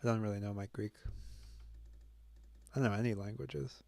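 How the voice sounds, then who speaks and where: A young man with a low, gravelly voice talks slowly, musing out loud as he works through a new alphabet. He stops often on fillers and jokes at his own expense, and the sound is dry and close with no room around it. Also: fairly even intonation, dry recording. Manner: musing, self-deprecating, halting with many fillers. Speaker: language-learning video host. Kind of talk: thinking aloud while self-studying.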